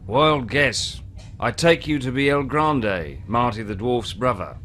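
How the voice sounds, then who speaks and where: A man's voice: medium pitch, a little slow, a bit bright, a little hiss or hum, natural voice. A man voicing a glib, eccentric pirate captain in a video game, wry, flippant and theatrical. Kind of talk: video game cutscene acting.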